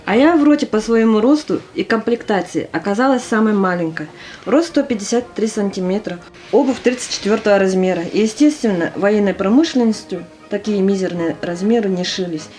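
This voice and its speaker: A woman's voice: medium pitch, animated, fairly quick, a little mellow, natural voice. Woman as a small, eager young army private. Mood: rueful recollection. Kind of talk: audio play acting. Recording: music under the voice.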